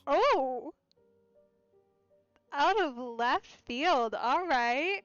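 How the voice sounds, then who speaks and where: Woman, casual game streamer, drawn-out slow delivery, raised pitch, clear music behind. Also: expressive, a bit bright, no room sound, dull audio.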